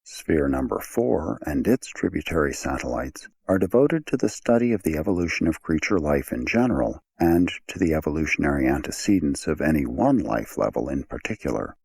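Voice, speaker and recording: A man narrates a scripted audiobook in a very deep voice. It is gravelly and husky in texture. His delivery is measured and formal. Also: very animated, darkish tone.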